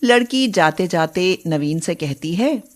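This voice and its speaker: Slow-paced woman narrating a story. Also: on the low side, expressive.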